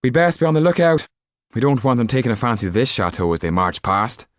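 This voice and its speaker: A man's voice: mid-range voice, very animated, quick pace, dry recording, clean studio sound, pitched above the performer's own voice, lightly acted. A man voicing a blunt, scornful, outspoken male chef in an audiobook. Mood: urgent.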